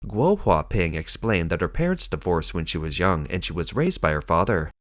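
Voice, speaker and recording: A man narrating a scripted news explainer in a measured, dramatic storytelling manner. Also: low-pitched, big pitch swings, no room sound, studio quality.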